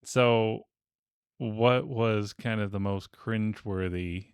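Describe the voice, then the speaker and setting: Low-voiced man hosting a casual podcast. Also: slow pace, smooth, no room sound, clean studio sound. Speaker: podcast host leading a panel discussion. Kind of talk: casual chat.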